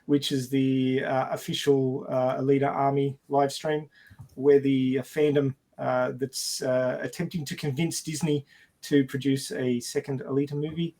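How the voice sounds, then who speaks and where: A man chatting casually as a podcast panelist, with a hesitant, halting delivery. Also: mid-range voice, level tone, mellow. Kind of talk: casual chat.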